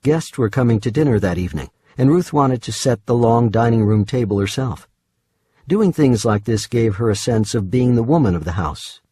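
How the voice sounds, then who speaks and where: A man's voice: medium pitch, animated, normal speed, dark tone, slightly rough, no echo. A man narrating fiction from a script as an audiobook reader, recorded in a studio. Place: dry studio.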